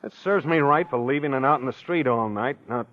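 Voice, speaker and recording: An American actor playing a mild, befuddled middle-aged husband in a 1940s radio mystery, hesitant and deliberate. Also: male voice, medium pitch, lightly acted. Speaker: narrator and lead character. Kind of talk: 1940s radio acting, first-person narration. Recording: muffled old radio recording with music.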